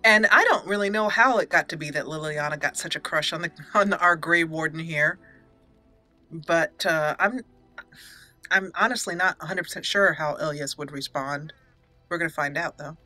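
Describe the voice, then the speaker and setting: A woman's voice: low-pitched, normal speed, bright, forceful tone, soft background music. A woman hosting a casual, chatty roleplay let's play, sometimes reading dialogue theatrically. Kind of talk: casual game commentary with roleplay.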